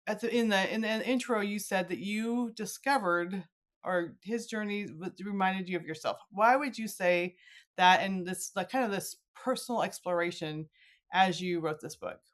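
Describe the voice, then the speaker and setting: A woman's voice: mid-range voice, moderate pace. A middle-aged woman hosting a podcast, talking in a casual, chatty way and slipping into little quoted asides. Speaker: podcast host interviewing an author. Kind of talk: casual conversational interview.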